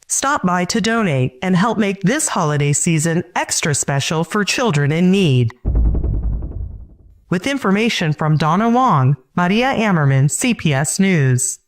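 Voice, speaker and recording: A woman news reporter delivering scripted news narration. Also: mid-range voice.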